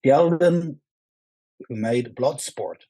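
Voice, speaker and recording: A man with a Norwegian accent, speaking English as a second language, answering interview questions in a halting way full of restarts and fillers. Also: mid-range voice, warm tone, no room sound. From Norway. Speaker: actor, guest. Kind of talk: casual interview.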